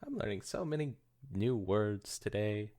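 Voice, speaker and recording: A man's voice: fairly low pitch, very animated, a little slow, dark tone. A young man co-hosting a podcast with casual, joking, teasing banter. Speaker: podcast co-host.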